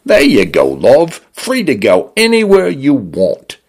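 A man's voice: medium pitch, huge pitch swings, slow, pitched above the performer's own voice, full character voice. A man putting on a chirpy Cockney smart home. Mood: cheery. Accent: Cockney-leaning British. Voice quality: bright friendly tone. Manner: cheerful, preachy, stubborn. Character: chirpy male British smart home, bossy.

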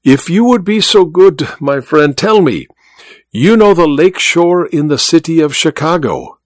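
A man's voice: fairly high pitch, animated, a little slow, dry recording, studio quality, voice pushed up. A man voicing a pompous, sly blind male stage magician, speaking in a grand, theatrical way. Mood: courtly.

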